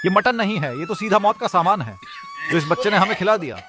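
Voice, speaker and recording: A man's voice: high-pitched, very animated, quick pace, over music. A man playing a blustering, threatening con-man thief posing as an official in a cartoon moral story. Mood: panicked. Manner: threatening, exaggerated.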